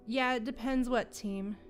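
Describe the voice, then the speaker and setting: High-voiced, unhurried woman streamer. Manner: informal, sweary, chatting to viewers. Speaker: livestream host playing a video game. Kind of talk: casual gaming stream chat. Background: game music.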